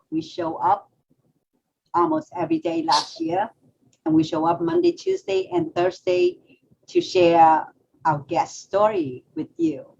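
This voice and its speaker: Woman co-host with a gravelly voice. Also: medium pitch. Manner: lively, laughing, restarts phrases. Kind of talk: casual talk show hosting. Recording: slightly dull lo-fi audio with faint background noise.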